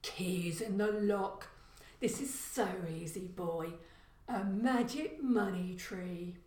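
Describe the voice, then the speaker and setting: A woman's voice: mid-range voice, takes it slowly, a little mellow, natural voice. Woman voicing a blunt burglar dad in an echoey room. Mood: contemptuous. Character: burly confident middle-aged male burglar father, brisk and contemptuous.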